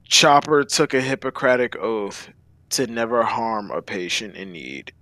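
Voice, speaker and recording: A man's voice: on the low side, a little slow, sharp tone, no echo, low-quality recording. A man joining a livestream voice chat as a guest, talking casually as a fan in a group discussion.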